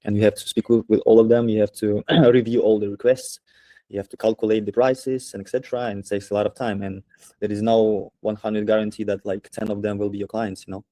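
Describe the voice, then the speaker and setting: A man in a casual business chat, speaking English as an in halting, agreeable phrases. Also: on the low side, little pitch movement, mellow, no room sound. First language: not English. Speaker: prospective client.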